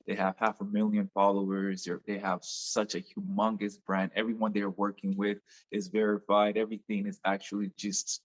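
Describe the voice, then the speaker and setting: A man's voice: lowish voice, monotone, normal speed, hardly any pauses, lo-fi sound. A man with a Nigerian accent, a music artist guest talking casually and enthusiastically in an interview, often repeating words as he goes.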